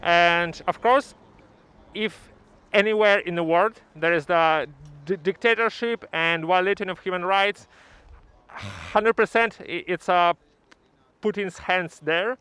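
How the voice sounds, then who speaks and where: Russian accent guy at a rally, crisp high voice, talking off the cuff. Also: slow pace, pushed and bright. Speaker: rally participant giving an opinion. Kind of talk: street interview, unscripted. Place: outdoors at a city rally in Canada.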